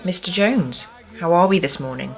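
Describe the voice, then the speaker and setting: A woman's voice: lowish voice, normal speed, faint music behind, natural voice. A woman playing a prim, officious care home matron with an English accent and formal, pompous manner in an amateur radio play. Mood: cool, pointed.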